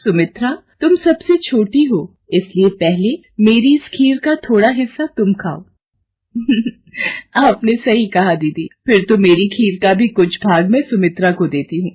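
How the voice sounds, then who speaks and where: Woman acting lively courteous mythological queen. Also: mid-range voice, unhurried, husky, highs cut off. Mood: affectionate. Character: eager, affectionate young queen of a mythological king. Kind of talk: Hindi devotional cartoon dub.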